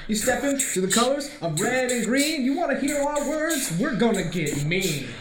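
A woman playing a scrappy, excitable male plumber hero with folksy street-tough bravado in a comic audio play. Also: on the high side, normal speed, background noise. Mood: bragging rap. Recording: echoey room with music underneath.

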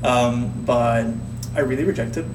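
A man's voice: mid-range voice, measured pace. A man, a Spanish speaker telling his story as a podcast guest in a reflective, hesitant way with many fillers. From Puerto Rico. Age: 20s. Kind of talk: casual conversational storytelling. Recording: roomy, distant, noisy with hum.

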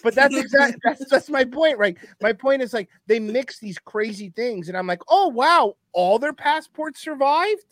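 A man's voice: very high voice, normal speed, few pauses, dry recording. A man whose first language is Spanish, talking in a casual political chat as a guest and slipping into mocking impressions. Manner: animated, swings into mocking voices, laughs often. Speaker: podcast guest.

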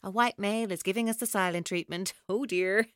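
An actress playing a controlling, taunting female captor in an audio drama, speaking in a deliberate, coaxing way. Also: medium pitch, fairly bright tone, clear, resonant tone, no echo, clean studio sound, natural voice. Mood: mocking, airy. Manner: deliberate, coaxing, coldly mocking. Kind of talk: audio drama acting, monologue-like.

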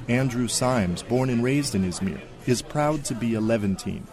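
A man's voice: mid-range voice, moderate pace, a crowd in the background. A man reading a scripted radio news report as a correspondent.

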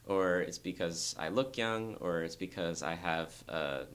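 A young man, a dancer, talking earnestly and thoughtfully in an interview, with a very low voice and frequent restarts. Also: unhurried, fairly bright tone, clean tone. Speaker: dancer guest. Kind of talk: reflective interview talk.

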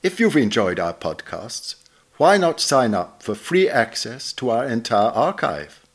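A man reading a literary essay aloud as scripted narration, in a measured, articulate, bookish manner. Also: mid-range voice, sing-song. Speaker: reader of a magazine essay.

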